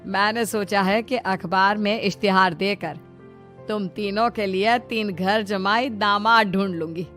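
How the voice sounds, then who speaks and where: A woman's voice: medium pitch, steady tone, measured pace, a bit bright, soft background music, lightly acted. A woman voicing a bossy middle-aged mother-in-law in a dramatic, emphatic cartoon style. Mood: firm. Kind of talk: Hindi cartoon story acting.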